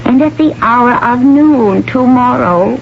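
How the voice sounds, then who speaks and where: A solemn old priestess of a river spirit intones her warnings in a slow, archaic chant, her voice set high. It sounds like a 1970s radio play, muffled, with music underneath. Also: creaky, a little hiss or hum. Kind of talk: 1970s radio drama acting.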